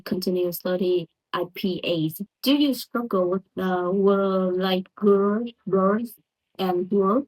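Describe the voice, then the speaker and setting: Vietnamese-accented woman, halting. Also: medium pitch, a little mellow, rough recording. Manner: halting, hesitant, with many restarts. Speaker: English learner sharing lessons. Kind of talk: casual pronunciation lesson.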